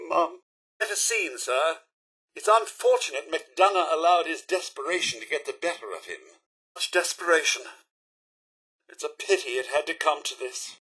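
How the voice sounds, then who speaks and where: An actor playing a prim, loyal and fussy robot butler in a video game, with a British accent and pompous, exaggeratedly polite diction. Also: male voice, sits high, bright, forceful tone, husky, full character voice. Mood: distraught, then regretful. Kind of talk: theatrical video game character acting.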